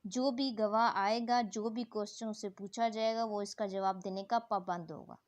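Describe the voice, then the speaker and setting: A woman's voice: medium pitch, normal speed. A woman giving an explanatory lecture as a law teacher, reading formal text aloud and then explaining it didactically, rephrasing key points.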